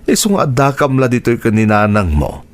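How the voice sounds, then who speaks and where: A man's voice: medium pitch, sing-song, moderate pace, natural voice. A man playing an earnest, encouraging father who supports his child in a radio drama. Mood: supportive, earnest. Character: supportive father who once dreamed of music himself. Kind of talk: Ilocano radio drama acting.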